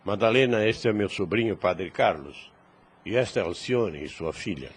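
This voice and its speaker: A man playing a grave, kindly older priest and uncle in an audio drama, speaking in a measured, formal, fatherly way. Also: fairly low pitch, expressive. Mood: warm, pleased. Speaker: voice actor. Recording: clear background noise.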